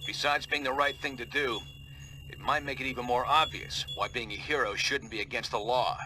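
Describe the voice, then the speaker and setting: A man's voice: medium pitch, steady tone, brisk, lightly acted. A man playing a firm, practical superhero team leader giving briefings in a video game. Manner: briefing, take-charge. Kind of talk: video game cutscene acting. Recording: clear background noise and ambience.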